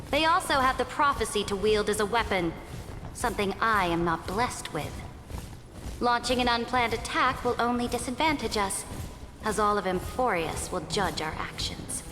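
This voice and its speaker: A proud, resolute female imperator speaks with measured authority. Her formal game dialogue rings in a long reverb, with music clearly behind it. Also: mid-range voice, expressive, pushed and bright. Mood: stern, resolute.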